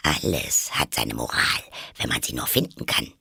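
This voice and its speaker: A self-satisfied old duchess, as a male storybook reader puts her on, forever drawing morals. Her voice sinks deep and gravelly into husky whispers while the pitch still swoops about. The sound is close and dry. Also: huge pitch swings, moderate pace, bright, forceful tone, no echo, full character voice. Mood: smug.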